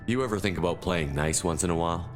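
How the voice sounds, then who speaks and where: An actor playing a tough, wary military commander in a video game, terse and decisive. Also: male voice, deep voice, quick pace, natural voice. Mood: firm, challenging. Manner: terse, blunt. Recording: game music behind.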